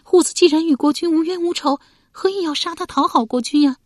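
A woman's voice: very high-pitched, brisk, dry recording, clean studio sound, voice pushed up. A woman storyteller playing an anxious young mother from ancient times, questioning and pleading with her husband. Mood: shocked, alarmed. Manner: anxious, questioning, tearful when protesting. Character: frightened pleading young mother, wife of an ancient court cook.

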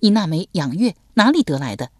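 A woman's voice: on the low side, sing-song, brisk, no room sound, studio quality. A woman voicing a cold, fierce and stubborn young woman in an audiobook, stern and curt. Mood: fierce, accusing.

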